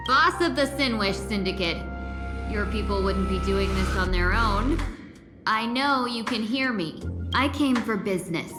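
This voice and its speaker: A woman voicing a cunning, poised fox woman in a video game, coy, elegant and deliberate. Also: medium pitch, lively intonation, echoey room, over music, lightly acted. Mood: sly, threatening.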